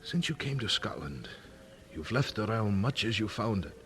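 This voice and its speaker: A man's voice: fairly low pitch, big pitch swings, moderate pace, a bit bright, faint music behind. An actor playing a courteous, probing nobleman in a historical audio drama, speaking formally and with deference. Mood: courtly probing.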